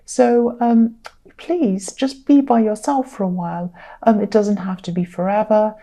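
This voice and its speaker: A tired woman with a croaky, mellow voice talks through a tarot spread. She speaks earnestly and circles back to repeat her points for emphasis. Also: medium pitch, fairly even intonation, unhurried. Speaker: tarot reader. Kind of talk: casual tarot reading to camera.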